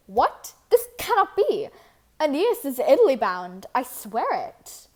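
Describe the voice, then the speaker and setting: A woman's voice: high-pitched, huge pitch swings, slow, a little mellow, heavily acted. A woman playing an irascible, commanding king of the gods in a theatrical reading of a verse libretto. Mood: outraged. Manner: commanding, blustering.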